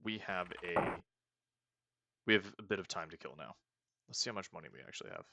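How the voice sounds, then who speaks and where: A man casually commenting on a game he is playing, planning his next moves aloud and often trailing off into soft mutters. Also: low voice, expressive, a little fast, gravelly, dry recording. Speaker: let's play host.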